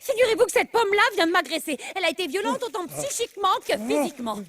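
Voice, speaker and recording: An actress playing a cheeky, stubborn female worm in an animated film, chatty, pushy and sarcastic. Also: very high voice, fast, full character voice. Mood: outraged. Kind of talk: animated film dub acting.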